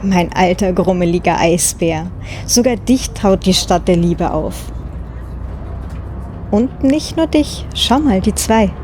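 An actress playing a romantic, affectionate wife on holiday, tender and gently teasing, in an audio drama. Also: female voice, mid-range voice, animated, slow, slight background noise. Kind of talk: German audio drama dialogue.

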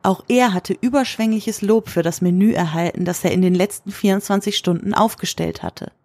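A woman's voice: medium pitch, normal speed, a little mellow, no room sound. A woman narrator delivering scripted prose for an audio drama.

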